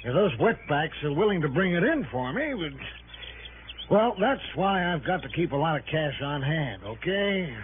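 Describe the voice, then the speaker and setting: A man's voice: on the high side, sing-song, unhurried. An actor in a 1960s radio drama playing a crooked, blustering businessman with slangy, defensive, boastful speech. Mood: shifty, defensive. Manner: evasive stammering, slangy, boastful. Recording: muffled, noisy old radio broadcast.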